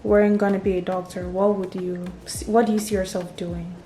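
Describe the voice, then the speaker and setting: A woman's voice: medium pitch, little pitch movement, brisk, warm tone. A woman casually interviewing her friend in a teasing, playful way. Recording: echoey room, faint background noise.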